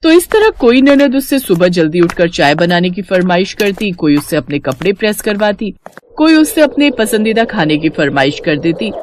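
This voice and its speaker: A woman's voice: mid-range voice, sing-song, normal speed, slight background noise. A woman narrating a scripted moral story as a storyteller.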